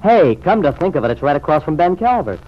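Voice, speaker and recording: An actor playing an affectionate, good-humored young American husband in an old-time radio serial. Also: male voice, on the high side, big pitch swings, fast talker. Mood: amused, thoughtful. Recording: muffled, noisy vintage radio recording.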